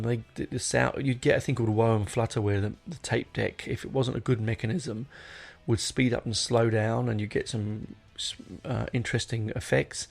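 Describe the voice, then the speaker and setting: A middle-aged man chats solo as a podcast host, with an average-pitched voice full of gravelly creak. He talks off the cuff, drifting into asides and rewording himself as he goes. Music plays behind him. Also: darkish tone. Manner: rambling, digressive, self-correcting. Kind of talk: casual unscripted solo podcast chat.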